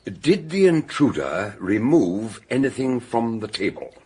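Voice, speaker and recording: A man playing a shrewd, avuncular detective doctor in a radio mystery, questioning courteously in a husky voice. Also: medium pitch, sing-song, unhurried, dark tone. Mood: confident, explanatory. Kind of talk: radio detective drama acting.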